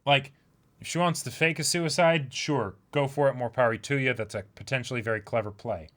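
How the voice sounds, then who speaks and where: A man's voice: medium pitch, fairly quick, a bit bright. Man co-hosting a casual fan livestream. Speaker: livestream co-host.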